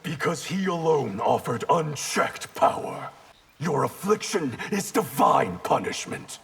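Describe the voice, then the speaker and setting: A man voicing a stern, guarded warrior in a fighting game, with a husky, rough voice. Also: on the high side, lively intonation, slow, a bit bright, heavily acted. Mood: cold, stern. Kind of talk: fighting game character dialogue.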